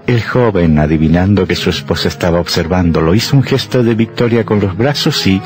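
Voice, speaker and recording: An actor playing a grave storyteller narrating a horror radio drama. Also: male voice, low voice, a little fast, a little mellow, soft background music, close to an everyday voice. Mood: grave, suspenseful.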